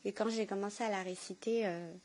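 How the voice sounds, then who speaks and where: A woman shares a personal testimony in a soft-edged, mellow voice at a medium pitch. She speaks earnestly and haltingly, often pausing to search for the next word. The delivery is unscripted and intimate. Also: fairly even intonation. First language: French. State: emotional, tearful with joy.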